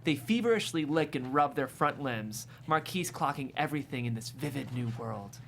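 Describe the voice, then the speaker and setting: Stage directions reader: mid-pitched, descriptive, amused, scripted comedy narration, slight room noise. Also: expressive, moderate pace, gravelly. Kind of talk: scripted screenplay narration at a table read.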